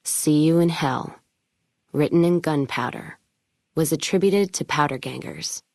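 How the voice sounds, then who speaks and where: A woman's voice: low-pitched, a little slow, a little mellow, no echo, clean studio sound. A woman playing a tough, blunt, hard-bitten caravan owner in a video game, with a sardonic manner. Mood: solemn, reflective. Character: tough, foul-mouthed, whiskey-drinking woman caravan owner of the wasteland. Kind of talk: video game character voice lines.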